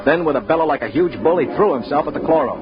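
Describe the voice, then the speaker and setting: A man's voice: on the high side, animated, racing, loud music behind the voice, close to an everyday voice. A man playing a level-headed, world-weary prisoner who also narrates a 1950s radio drama in an earnest, dry way. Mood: dry, narrating. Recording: muffled 1950s radio recording.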